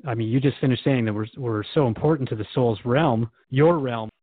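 A man's voice: medium pitch, brisk, no echo, natural voice. A man playing an earnest radio host in a radio drama, asking curious questions and voicing mild worry. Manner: earnest, questioning, nervous laughter. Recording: dry tinny phone-like sound.

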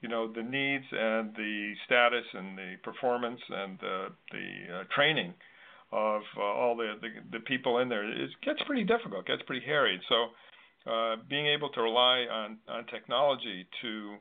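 A man hosting a conversational interview, thinking aloud in long, halting, wordy sentences. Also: mid-range voice, vocal fry. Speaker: interview host. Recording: narrow, tinny phone-like line.

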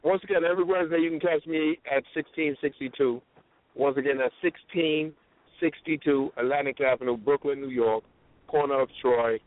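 A man's voice: on the high side, moderate pace, no echo. A man speaking as a comedian guest in a casual radio interview, slangy and hyping, repeating phrases for emphasis. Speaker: comedian guest promoting live shows. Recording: tinny phone line, dry.

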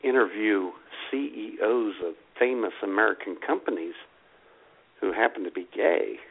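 A man's voice: lowish voice, normal speed, vocal fry. A man co-hosting a comedy podcast in casual, jokey chat, heard through a tinny, phone-like recording. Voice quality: animated, jokey. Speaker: podcast co-host.

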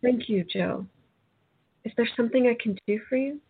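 A thin, phone-like recording of a kindly young woman friend, acted by a woman in a radio drama. Her fairly high voice is earnest and frank. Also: moderate pace, vocal fry, breathy edge. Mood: grateful. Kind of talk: radio play acting. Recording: tinny phone-like audio.

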